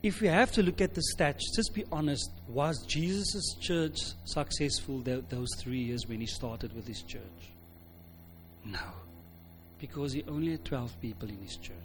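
A man's voice: medium pitch, normal speed, a little hiss or hum. A man with a South African accent giving an informal sermon, speaking emphatically and conversationally, often repeating phrases for weight. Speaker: pastor, preacher.

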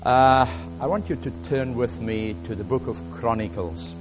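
A man with an Afrikaans first language and a South African accent, preaching a relaxed, halting sermon with many fillers and reading scripture aloud more loudly. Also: medium pitch, music in the background, poor audio. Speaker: guest preacher.

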